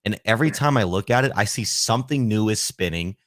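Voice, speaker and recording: Deep-voiced enthusiastic podcast host. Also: male voice, lively intonation, fast, no echo, clean studio sound. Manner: enthusiastic, praising, talks to viewers. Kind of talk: casual podcast interview.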